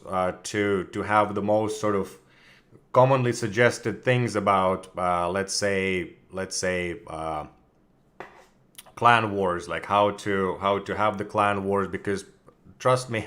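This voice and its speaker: A man with a fairly low voice, slightly hoarse from a recent cold, talks casually to his stream audience. He hesitates often, restarts his sentences and stops to clear his throat. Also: a bit bright. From Russia. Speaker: community manager of a game studio. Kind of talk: casual livestream chat with viewers.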